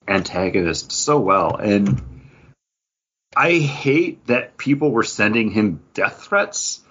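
A man's voice: on the low side, fairly bright tone, slightly rough, highs cut off. A man hosting a podcast in an enthusiastic, casual, chatty way. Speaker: podcast host. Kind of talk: casual chat.

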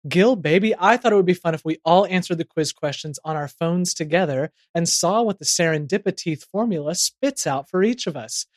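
A man's voice: on the high side, animated, a little fast, hardly any pauses, dry recording, studio quality. A man hosting a comedy podcast, reading playful scripted narration and ads. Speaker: podcast host.

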